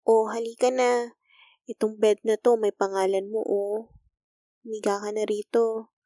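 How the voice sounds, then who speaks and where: Woman playing a slow, gentle Filipino school nurse, teasing. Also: medium pitch, level tone, no room sound, clean studio sound, close to an everyday voice. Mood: caring, gentle. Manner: soft, intimate, teasing, coaxing. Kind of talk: romantic ASMR roleplay.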